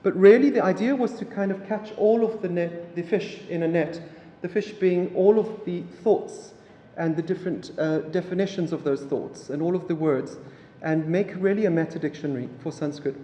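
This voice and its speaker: A man from South Africa, an Afrikaans speaker, giving a relaxed public lecture with halting phrasing and many fillers. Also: high voice, muffled recording, a little hiss or hum. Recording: echoey hall, mic from afar.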